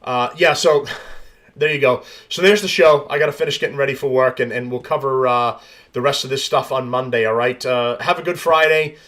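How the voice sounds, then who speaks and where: A man's voice: medium pitch, a little fast, a bit bright. A man hosting a podcast, reading news stories aloud and adding blunt, profane commentary with frequent restarts. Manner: blunt, profane, rambling asides. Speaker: podcast host.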